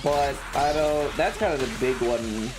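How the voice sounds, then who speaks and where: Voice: medium pitch, a little slow, noisy background. A streamer chatting casually and playfully while gaming, rambling and mock-grumbling, with game music behind. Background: video game music.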